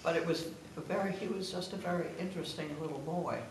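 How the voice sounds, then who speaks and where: A woman's voice: lowish voice, quick pace. A woman in her nineties telling family stories casually, in an anecdotal way, and given to laughing. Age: 90s. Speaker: guest of honour sharing family memories. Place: echoing room at a family gathering. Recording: very echoey room, distant mic, noisy.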